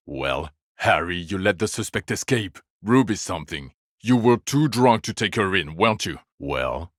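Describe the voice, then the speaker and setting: Very deep-voiced, bitter police detective. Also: male voice, a bit bright, no echo, studio quality. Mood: low, sardonic. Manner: sardonic, accusatory, profane. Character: bitter, exasperated male police detective berating his drunk partner. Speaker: video game voice actor. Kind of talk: dramatic game dialogue, scolding.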